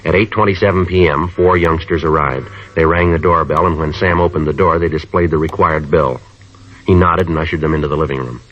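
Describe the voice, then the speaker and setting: Low, clipped radio police detective. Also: male voice, fairly even intonation, brisk, a bit bright. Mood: matter-of-fact. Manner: terse, matter-of-fact, clipped. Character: calm, businesslike male police detective narrating a case. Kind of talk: 1950s radio police drama acting and narration. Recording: dull old-time radio recording.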